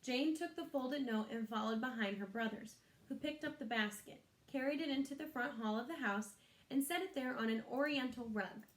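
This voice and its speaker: A woman's voice: sits high, normal speed. A woman reading a children's story aloud expressively, like a teacher, in an echoey room.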